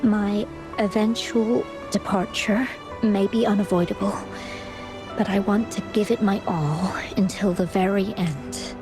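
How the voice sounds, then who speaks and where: An actress playing a frail, gentle but resolute ailing painter in a video game, with a soft, breathy delivery. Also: female voice, mid-range voice, fairly even intonation, unhurried, music in the background, lightly acted. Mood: wistful, resolute.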